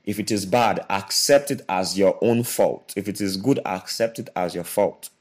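Low-voiced earnest man. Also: moderate pace. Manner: earnest, repetitive, restarts phrases. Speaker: co-host. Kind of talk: motivational podcast talk.

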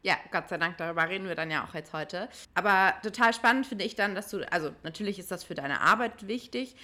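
A young woman hosts an interview podcast in a friendly, upbeat way. She speaks casually and warmly to her guest, with easy greetings and quick reactions. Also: lowish voice. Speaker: podcast host.

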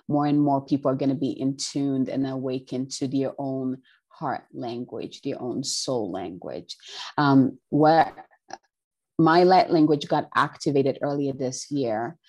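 A woman's voice: a bass voice, dark tone. A woman from Ghana, a spiritual healer, talking in an earnest, casual way as an interview guest, with many "you know" and "right" fillers.

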